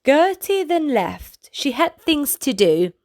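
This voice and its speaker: A woman reading a children's bedtime story aloud in a gentle, expressive way. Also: high-pitched, takes it slowly.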